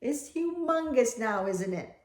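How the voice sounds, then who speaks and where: A woman reads a picture book to young children in a high, very lively voice. Her delivery is slow and expressive, drawing listeners in with animated asides. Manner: animated, exclaiming, prompting listeners with questions. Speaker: read-aloud storyteller for children. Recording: echoey room.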